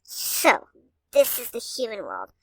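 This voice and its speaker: A woman playing an evil teenage girl, dark and sinister in manner, in an audio drama. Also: a little high, wildly swooping pitch, takes it slowly, no echo, heavily acted, low-quality recording.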